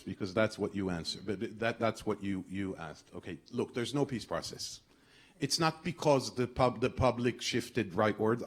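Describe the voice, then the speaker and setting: A man from Israel, a former diplomat speaking on a panel in a wry, ironic way. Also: mid-range voice. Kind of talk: panel discussion.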